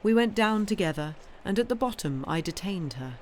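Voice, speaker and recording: Female narrator, mid-pitched, expressive literary storybook read, with noise behind the voice. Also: moderate pace. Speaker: reader, streamer. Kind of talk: audiobook-style reading of a classic novel. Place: live stream. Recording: clearly noisy background.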